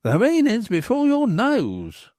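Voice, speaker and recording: A man doing a sly, flattering innkeeper. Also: very high-pitched, takes it slowly, no echo, clean studio sound, pitched above the performer's own voice, full character voice. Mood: teasing. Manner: smooth-talking, teasing.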